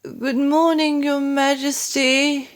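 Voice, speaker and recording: A woman's voice: high voice, little pitch movement, takes it slowly, pitched above the performer's own voice. A woman reading aloud voices a timid older maid who talks in a respectful, stammering way. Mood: polite.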